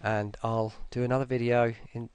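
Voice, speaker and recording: A tired man giving a casual, unscripted software walkthrough in a hesitant, low-key, rambling way, speaking close to the mic. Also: flat delivery, moderate pace, smooth, no echo. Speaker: voice pack creator presenting an update.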